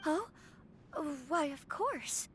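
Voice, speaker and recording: High, lilting young noble lady. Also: animated, extremely slow, fairly bright tone, a little hiss or hum, full character voice. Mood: polite, intrigued. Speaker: voice actor in a video game. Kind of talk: scripted game dialogue.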